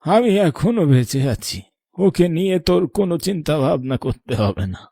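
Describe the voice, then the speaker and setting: Man voicing a creaky old grandfather, high-pitched. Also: sing-song, moderate pace, dark tone, no echo, clean studio sound, full character voice. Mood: angry scolding. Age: older. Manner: scolding, then tender storytelling. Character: old grandfather, protective, weary, sharp-tongued but affectionate. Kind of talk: cartoon voice acting.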